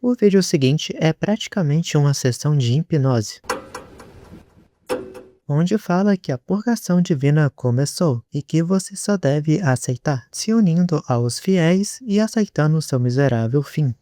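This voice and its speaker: A man's voice: a little high, expressive, measured pace, noisy background. A man with a Brazilian accent narrating an explainer about an online horror series. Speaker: YouTube narrator.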